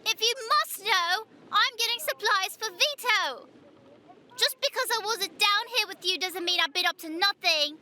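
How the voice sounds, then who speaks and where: A stubborn, fiery little girl in a seafaring audio drama. Her voice is extremely high and bright, and she talks curtly and defiantly. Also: moderate pace, slight background noise, heavily acted. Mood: annoyed. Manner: defiant, curt.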